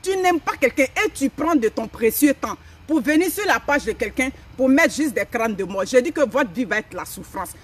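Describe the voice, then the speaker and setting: A woman's voice: high voice, animated, normal speed, hardly any pauses, slightly rough. Emphatic woman preaching outdoors. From Africa. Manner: emphatic, preachy, repeats phrases. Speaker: live-stream host. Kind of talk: motivational live-stream talk. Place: forest clearing, outdoors. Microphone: phone camera at a few steps.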